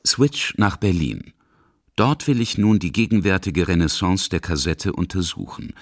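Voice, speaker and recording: A man reads scripted radio feature narration in a very low voice. He speaks as the reporter guiding listeners through a documentary. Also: animated, normal speed, no room sound, clean studio sound.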